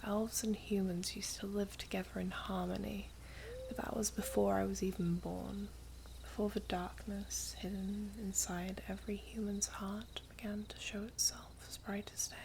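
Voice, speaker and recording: Casting: woman as elven princess for ASMR fantasy roleplay; hushed, unhurried, creaky-edged, tender yet guarded. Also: medium pitch, noisy background. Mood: solemn, reproachful. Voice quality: breathy, whispery. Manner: slow, hushed, formal storybook phrasing. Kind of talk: ASMR roleplay, soft whispery close speech.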